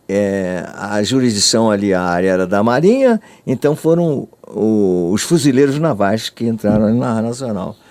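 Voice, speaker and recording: An older man with a Brazilian accent giving halting, repetitive spoken recollections as a witness. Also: mid-range voice, a little mellow. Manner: halting, repeats phrases. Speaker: radio artist giving testimony. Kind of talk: recollection in an interview.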